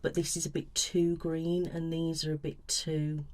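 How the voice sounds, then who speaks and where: Low-voiced soft-spoken woman, ASMR consultation. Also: level tone, unhurried. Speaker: ASMR practitioner, colour consultant.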